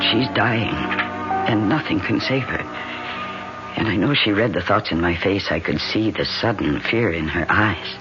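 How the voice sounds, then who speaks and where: An actress playing an anxious, earnest young woman who acts in and narrates a radio horror drama with tremulous, dramatic delivery. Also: rumbling low. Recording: dull old radio recording with loud music.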